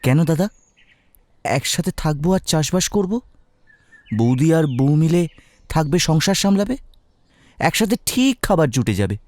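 Man putting on a high-pitched, earnest younger brother. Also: big pitch swings, moderate pace, long pauses, a little mellow, no room sound. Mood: hopeful, earnest. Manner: respectful, plaintive.

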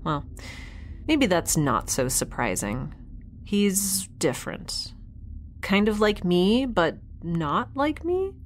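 A woman's voice: mid-range voice, sing-song, slow pace, slight background noise. An actress playing a candid young woman scientist in a sci-fi audio drama, recording a rambling, hesitant log with dry wit. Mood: thoughtful, uneasy. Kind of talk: audio diary narration in scripted drama.

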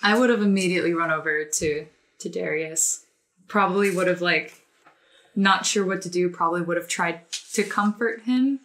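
A woman's voice: lowish voice, normal speed, a bit bright. A woman chatting casually as a player in a tabletop game.

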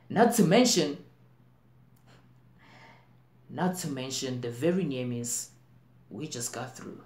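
A man playing an anxious, intense worrier in a dramatic stage monologue, in deliberate broken phrases with emphatic swells. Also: medium pitch, voice pushed up, natural voice. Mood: agitated, urgent. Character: anxious, intense man brooding on global plagues. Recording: roomy echo, faint background noise.

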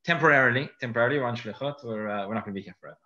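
A man's voice: mid-range voice, very fast, pushed and bright. A man with a South African accent, a rabbi guest speaking earnestly and repeating words for emphasis. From Johannesburg, South Africa. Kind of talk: casual podcast chat. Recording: dull lo-fi audio.

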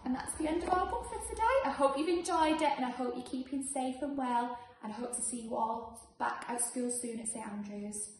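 A woman in her thirties speaking as a teacher to young children at storytime, with expressive, deliberate phrasing. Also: sits high. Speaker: primary school teacher reading to pupils. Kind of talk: children's storytime read-aloud. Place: living room at home, indoors. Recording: echoey room, faint background noise.

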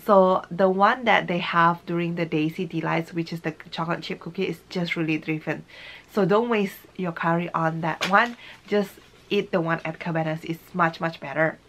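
A woman's voice: on the low side, fairly even intonation, normal speed, fairly bright tone, a little hiss or hum. A woman, giving a casual review to camera in a small room at home. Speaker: vlogger.

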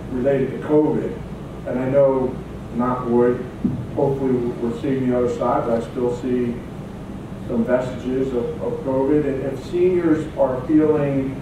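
A man's voice: mid-range voice, noisy background. Middle-aged man moderating in an echoey hall. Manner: friendly, halting, with restarts. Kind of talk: moderating a public panel. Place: church hall. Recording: echoey hall, distant, humming.

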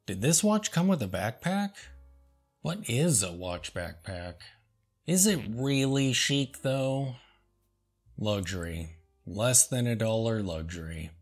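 Man, deadpan comedic host, sarcastic, unhurried drawl, average pitch, faint background music. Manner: dry, sarcastic, slow and deliberate. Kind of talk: deadpan comedic commentary reading reviews.

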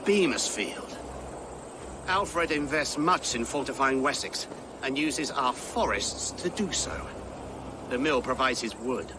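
A man's voice: medium pitch, animated, slow, noisy background. An actor playing a scheming, fussy older English churchman in a video game, talking in a wry, self-important, theatrical way. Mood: exasperated. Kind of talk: video game cutscene acting.

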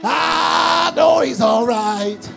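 A man delivers a fervent sermon at a shout, with heavy gravel in his voice. He moves slowly through short, repeated phrases in a half-chanted rhythm, each punctuated with "uh". Music plays behind him. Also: very high voice, lively intonation, a faint crowd. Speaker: preacher. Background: music behind the preaching.

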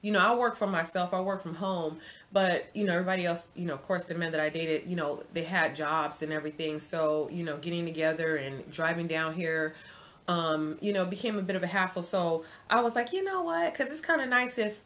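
A woman in her forties giving casual dating advice to viewers from her home, chatty and animated, calling the viewer sis. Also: fairly low pitch, fairly quick. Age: 40s. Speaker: vlogger. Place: home room, indoors.

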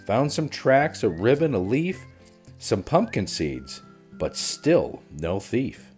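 A man reading a children's storybook aloud in an animated, deliberate storytelling manner. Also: medium pitch, soft background music. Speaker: children's book reader. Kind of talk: expressive storybook read-aloud.

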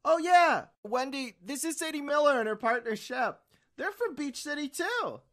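A woman voicing a cheerful, earnest teenage boy in a cartoon comic dub, chatty and excitable. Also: sits high, normal speed. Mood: excited.

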